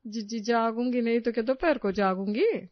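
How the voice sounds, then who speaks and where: A woman's voice: fairly high pitch, moderate pace, dry recording, lo-fi sound, natural voice. A woman voicing a fawning, sarcastic middle-aged neighbour in a family story, eagerly agreeing. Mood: fawning, mocking.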